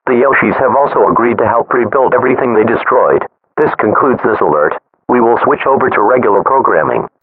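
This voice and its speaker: A man playing a formal, impersonal emergency alert announcer reading a scripted broadcast in a measured way. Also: medium pitch, lively intonation, breathy edge. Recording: noisy, phone-like emergency radio broadcast.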